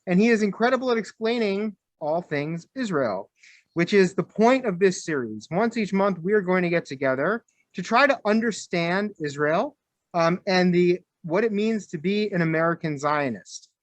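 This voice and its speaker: A man's voice: high voice, dry recording. A man hosting as rabbi, introducing a speaker on a video call in a chatty, hesitant way with many fillers. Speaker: rabbi and host. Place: room with people behind him. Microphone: camera.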